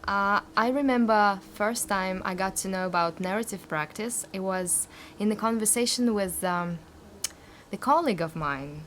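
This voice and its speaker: A woman's voice: medium pitch, normal speed, a bit bright, slight background noise. A woman from Russia, a narrative therapist recalling her path, speaking English hesitantly and searching for words. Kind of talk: personal reflection.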